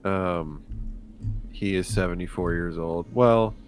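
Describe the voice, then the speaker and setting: A man's voice: sits low, slow pace, faint music behind. A man chatting casually and a little hesitantly as a player on an online tabletop game podcast. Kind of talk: casual chat. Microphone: remote video call.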